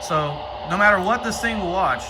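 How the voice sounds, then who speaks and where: A man's voice: sits high, expressive, moderate pace. An American man giving casual hands-on unboxing commentary, thinking aloud as he mutters through menu items. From Ohio, United States. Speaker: radio hobbyist presenting an unboxing. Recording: clear background noise.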